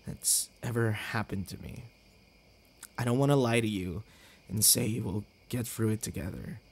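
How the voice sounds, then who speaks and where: A man playing a tender, heartbroken young boyfriend in an intimate ASMR roleplay, with halting, stammering delivery and sighs. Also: medium pitch, slightly rough.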